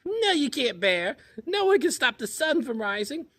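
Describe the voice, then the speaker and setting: A man voicing a small, cheeky female chipmunk with a squeaky, taunting voice in a told story. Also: sing-song, moderate pace, a bit bright, full character voice. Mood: teasing. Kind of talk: oral storytelling.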